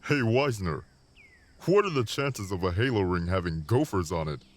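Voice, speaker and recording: A cheeky Marine private played by a male actor in a sci-fi audio drama. He has a low voice that swings freely in pitch, full of sarcastic, needling banter. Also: big pitch swings, moderate pace. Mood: curious, wry. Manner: teasing, sarcastic.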